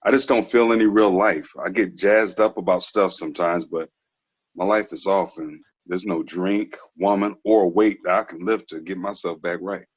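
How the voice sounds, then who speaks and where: An actor reading a frank, laid-back twenties ex-athlete who is quietly troubled, slangy and earnest, in a TV drama table read. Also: male voice, deep voice, steady tone, moderate pace, breathy edge. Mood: heavy, confessional. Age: young adult. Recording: dull lo-fi recording.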